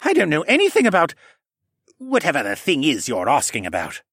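A man's voice: mid-range voice, wildly swooping pitch, measured pace, fairly bright tone, husky, no room sound, studio quality, exaggerated voice. A man voicing a cowardly, whining young male assassin sorcerer in a fantasy audiobook, whimpering and sulky. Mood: sulky defiance.

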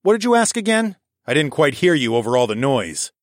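A man's voice: mid-range voice, big pitch swings, fairly quick, no echo, studio quality, voice pushed up, close to an everyday voice. A man voicing a cocky male hero and leader in a fantasy audiobook, theatrical and darkly taunting. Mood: menacing, taunting. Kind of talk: audiobook character dialogue.

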